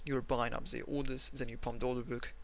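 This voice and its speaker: A man with a Russian accent walks through market charts at his desk, rambling and self-mocking. His voice is gravelly and bright, recorded on a desk mic. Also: mid-range voice, little pitch movement, a little fast. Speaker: trading analyst. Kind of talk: screen-recorded chart analysis.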